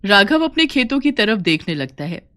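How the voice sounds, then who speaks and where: A woman's voice: medium pitch, big pitch swings, normal speed, pushed and bright. A woman narrating a scripted folk tale for a cartoon, as a storyteller.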